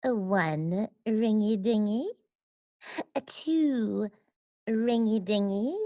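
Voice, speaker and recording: An actress playing an old-fashioned, formal switchboard operator with drawn-out singsong delivery in a comedy radio play. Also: medium pitch, dark tone, no echo, studio quality. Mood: officious.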